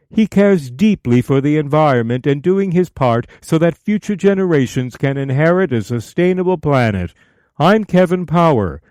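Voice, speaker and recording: A man hosting a podcast, reading a scripted introduction in a measured way. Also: on the high side, darkish tone, dry recording, studio quality. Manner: measured, read-aloud delivery. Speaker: podcast host.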